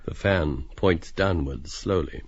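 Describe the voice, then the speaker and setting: A man's voice: low voice, slow, slightly rough, muffled recording. A man reading literary stage directions as scripted audiobook narration.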